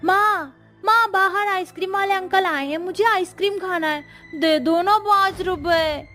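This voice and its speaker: A woman's voice: very high voice, a little slow, bright, forceful tone, soft background music, full character voice. A woman voicing a stubborn, whiny young boy in a cartoon story, pleading in a childish way. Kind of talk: Hindi moral story cartoon dubbing.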